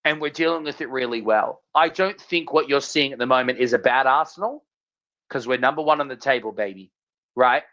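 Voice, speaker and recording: A man's voice: mid-range voice, a little fast, bright, forceful tone. A man with an Australian accent co-hosting a casual sports podcast, talking emphatically and often repeating his phrases. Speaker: podcast co-host. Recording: slightly dull lo-fi audio.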